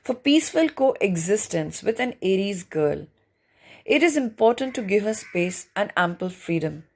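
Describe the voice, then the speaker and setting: Woman narrating, with a creaky edge. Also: mid-range voice, very animated, measured pace. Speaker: narrator, reading a book chapter. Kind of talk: scripted audiobook narration of an astrology book.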